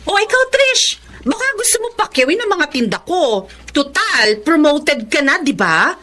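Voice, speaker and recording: A woman's voice: high-pitched, very animated, normal speed, bright, forceful tone, full character voice. A woman playing a humble, earnest breadwinner daughter in a Filipino radio drama. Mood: eager, teasing. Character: Jennifer, humble laid-off breadwinner daughter turned online seller.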